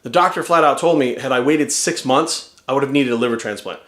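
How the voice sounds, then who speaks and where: A man in his forties giving an energetic, rambling testimonial to camera. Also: medium pitch, fairly quick, reverberant. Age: 40s.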